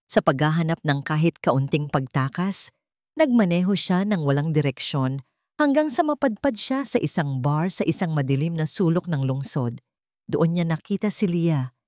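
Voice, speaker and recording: A woman's voice: deep voice, a little mellow, no echo, clean studio sound. A woman with a Filipino accent reading a scripted romantic drama story as narrator.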